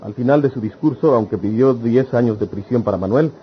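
Actor as an indignant villager, muffled radio drama. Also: male voice, mid-range voice, brisk, lightly acted. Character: indignant, sarcastic accusing villager. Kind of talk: old-fashioned radionovela acting. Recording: dull lo-fi radio serial with noise.

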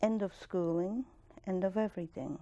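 A woman in her sixties from Poland with a Polish accent, recalling her past at a steady pace in a recorded oral history interview, with restarts and soft laughs, on a lapel mic in a studio. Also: mid-range voice. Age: 60s. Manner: measured, plain-spoken, with soft laughs and restarts. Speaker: interviewee, Holocaust survivor. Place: studio with dark curtain backdrop.

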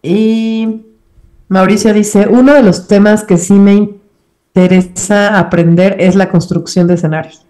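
A woman's voice: medium pitch, steady tone, a little slow, dark tone. A young woman, co-hosting a live stream and relaying viewer comments aloud in a plain way. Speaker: co-host reading chat.

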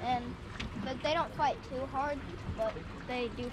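A teenage boy at a fishing lodge, answering questions in shy, halting bits. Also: very high voice, fairly even intonation, noisy background. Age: teens. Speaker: young angler guest.

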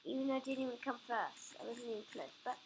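A child gamer, sniffly from a runny nose, giving excitable casual commentary while playing. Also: female voice, a little high, fast, low-quality recording. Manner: excitable, squeals and groans at the game. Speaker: gameplay commentator. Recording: loud background noise.

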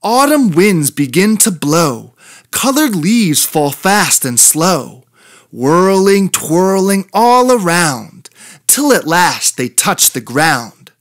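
A narrator reading aloud for young children with a deliberate, rhythmic sing-song delivery. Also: mid-range voice, sing-song, no echo, clean studio sound. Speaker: children's entertainer and story reader.

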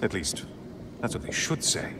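An actor playing a smug, theatrical sun god in a video game, with an oily tone and a sarcastic, taunting drawl. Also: male voice, moderate pace, a bit bright, a little hiss or hum, lightly acted. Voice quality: slimy, oily tone.

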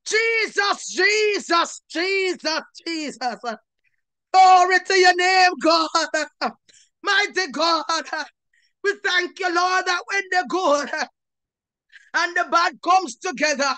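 A woman's voice: extremely high pitch, takes it slowly, bright, forceful tone, no room sound. A woman minister leading a fervent Pentecostal prayer, tearful and impassioned, punctuating her declarations with short "uh"s and cries to God. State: tearful, crying.

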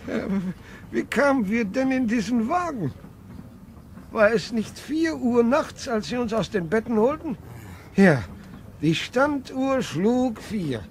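Ein Schauspieler spielt in einem alten Hörspiel einen uralten, unruhigen Großvater, der langsam und stockend spricht. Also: male voice, extremely high pitch, big pitch swings, a little hiss or hum, exaggerated voice. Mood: wistful. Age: older. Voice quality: creaky, slow and halting. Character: very old man, grandfather, restless and fearful. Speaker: actor in a radio play. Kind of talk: 1950s radio drama acting.

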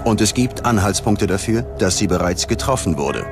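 A man reads scripted documentary narration in a measured, dramatic manner. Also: fairly low pitch, animated, over music.

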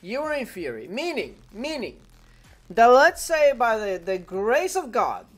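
A man's voice: very high voice, very animated, a little slow, faint music behind. Young man with a Spanish accent. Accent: Spanish-speaking. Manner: repeats phrases, talks to his dog mid-game. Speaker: gaming streamer. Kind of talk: casual live-stream chat with viewers. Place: home room at a desk.

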